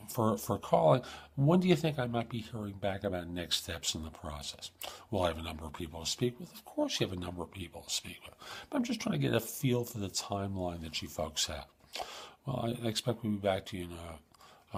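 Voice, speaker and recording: Guy with a lowish rough husky voice, chatting through tips like a coach on his show. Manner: informal, emphatic coaching, acts out sample questions. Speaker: host of a job search advice show. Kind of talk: conversational advice monologue.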